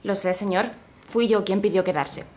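An actress playing a dutiful, brave young military telegraph operator, formal and deferential, in a period audio drama. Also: female voice, medium pitch, moderate pace, a little mellow, natural voice. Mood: composed.

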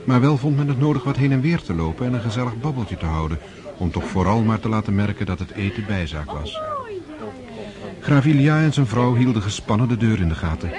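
An actor narrating a radio drama as a measured storyteller of an aristocratic saga. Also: male voice, lowish voice, a little mellow, lightly acted.

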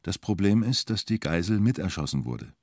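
Low-voiced male documentary narrator. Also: very animated, normal speed, darkish tone, dry recording, studio quality.

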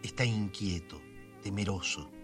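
A man's voice: fairly low pitch, unhurried. A man with a Buenos Aires accent delivering scripted, dramatic narration for a radio serial. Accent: Argentine, Buenos Aires. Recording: music behind the voice.

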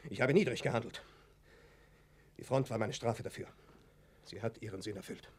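Stiff, husky male doctor. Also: mid-range voice. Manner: formal, clipped, declamatory. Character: stiff, ambitious, self-justifying male doctor. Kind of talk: German radio play acting.

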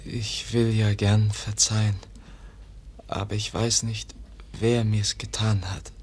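A man in a radio play acting a gentle, exhausted young man on his deathbed, speaking haltingly and drifting into whispers. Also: lowish voice, flat delivery. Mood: weak, forgiving. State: dying, feverish, very weak. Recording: noisy archive radio play recording.

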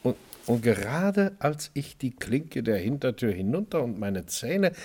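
Husky, animated male chef. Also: medium pitch, a little slow, exaggerated voice. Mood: wry, relaxed narration. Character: wry, stubborn male restaurant chef caught up in crime. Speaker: lead actor and first-person narrator. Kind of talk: radio crime drama acting and narration.

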